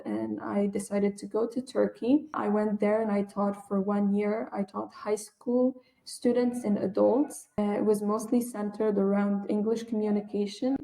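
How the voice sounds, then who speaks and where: Woman english teacher on a podcast, native arabic speaker, earnest, kinda gravelly dark voice. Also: medium pitch, rough recording. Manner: earnest, emphatic, often says definitely. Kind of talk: conversational podcast interview.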